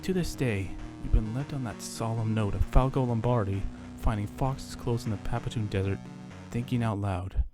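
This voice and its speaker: A man's voice: on the low side, normal speed, hardly any pauses, poor audio. A man reading a scripted first-person story as a dramatic narrator, with theatrical storytelling delivery. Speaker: narrator reading his own horror story. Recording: music under the voice. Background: music.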